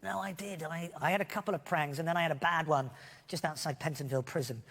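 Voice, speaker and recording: A man's voice: on the high side, fast talker, fairly bright tone. A man, a guest on a chat show, telling chatty self-deprecating anecdotes. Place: TV studio. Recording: long studio reverb, faint noise.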